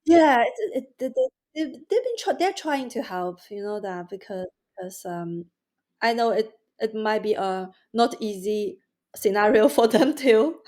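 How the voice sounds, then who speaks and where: A woman with a Mandarin Chinese accent, a guest in a podcast interview, speaking haltingly with many restarts and fillers. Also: on the high side, expressive, darkish tone.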